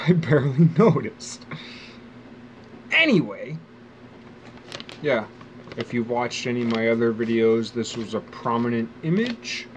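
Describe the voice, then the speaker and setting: A man in his forties thinks aloud in a loose, wry monologue, swearing casually as he goes. His voice sits at an ordinary middle pitch. A faint hum of air conditioning runs behind him. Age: 40s. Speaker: vlogger. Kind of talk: rambling personal monologue. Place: outdoors near a bench.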